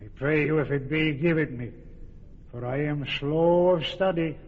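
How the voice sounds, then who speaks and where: A man voicing a slow, anxious workman pleading in an old radio play. The sound is thin and phone-like, with a long hall reverb. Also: on the high side, lightly acted. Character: slow-witted, anxious joiner.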